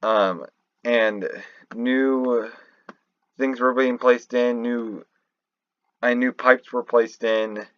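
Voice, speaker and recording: Slow, halting man, dull lo-fi mic. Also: mid-range voice, steady tone. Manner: halting, rambling, often restarting mid-thought. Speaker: video game lore commentator. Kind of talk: unscripted theorizing commentary over a screen recording.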